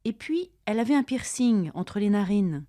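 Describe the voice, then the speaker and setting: A woman reading her part of a scripted dialogue for language learners, speaking carefully and deliberately, each line said twice. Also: mid-range voice, animated, dark tone, no echo, studio quality. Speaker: language-lesson dialogue reader.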